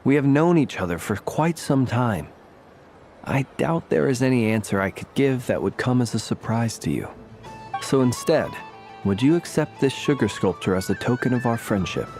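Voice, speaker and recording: A man's voice: mid-range voice, expressive, normal speed, darkish tone, close to an everyday voice. A man voicing a courtly candy maker. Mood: warm formal. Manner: formal, polite, elaborate phrasing. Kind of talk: video game voice acting. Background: game soundtrack music.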